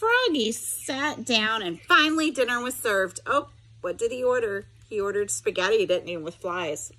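A woman's voice: fairly high pitch, expressive, normal speed, fairly bright tone, poor audio. A middle-aged woman reading a picture book aloud to children, expressively, with simple explanatory asides. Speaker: special education teacher reading aloud.

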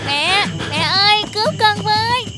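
Woman voicing a very high, childlike buffalo calf. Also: normal speed, loud music behind the voice. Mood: frightened, pleading. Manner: childlike, pleading. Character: small, timid buffalo calf attached to its mother. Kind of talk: dubbed cartoon fable acting.

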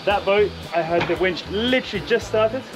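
A man's voice: high-pitched, moderate pace, over music. A man in his thirties casually narrating a boat restoration vlog, filming himself with a handheld camera outdoors in a boatyard. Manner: chatty, excitable, thinks aloud. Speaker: vlogger restoring a sailboat. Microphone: action camera held at arm's length.

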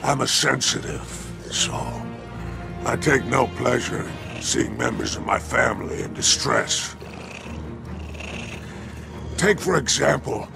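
A sly alien gangster boss for a video game. The voice is heavily gravelled and swings widely in pitch, with a theatrical, mock-friendly drawl. Music plays underneath. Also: male voice, full character voice. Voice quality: gravelly, growling. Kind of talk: video game character dialogue.

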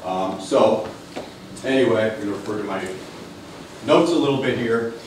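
A man's voice: on the low side, normal speed, a little mellow, a little hiss or hum. Chatty man lecturing in an echoey hall. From Connecticut. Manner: chatty, digressive, self-deprecating with many fillers. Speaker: local history lecturer. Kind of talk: casual public talk. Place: library meeting room with an audience. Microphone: far camera, no mic seen. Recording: roomy echo, distant from the camera.

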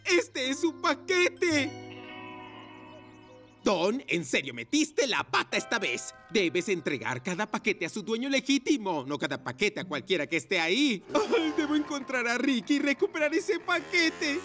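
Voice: medium pitch, big pitch swings, measured pace, a bit bright. An actor voicing a friendly, scatterbrained adult male delivery motorcycle in a children's cartoon, muttering and exclaiming. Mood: flustered, worried. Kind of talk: animated children's show dubbing. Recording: music behind the voice.

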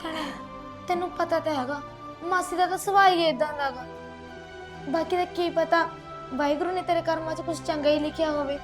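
A young woman playing a sympathetic cousin who softly comforts the bride and pleads with the aunt. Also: extremely high pitch, fairly even intonation, fairly quick, pauses often, music in the background. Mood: consoling.

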